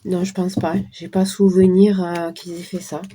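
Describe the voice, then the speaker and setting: A middle-aged woman with a hoarse morning voice, chatting casually to her viewers as she reads tarot cards. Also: lowish voice, level tone, moderate pace, dark tone, slight background noise. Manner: chatty, talks to viewers while shuffling cards. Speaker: card reader. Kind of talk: casual card-reading livestream talk.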